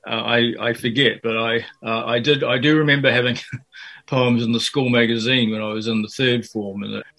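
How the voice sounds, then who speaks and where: An older man from Fiji and New Zealand talks through a radio interview about his life as a poet. His delivery stays flat and even, with little rise and fall. He hesitates often, full of fillers and false starts. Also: mid-range voice, normal speed. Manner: hesitant, many fillers and restarts.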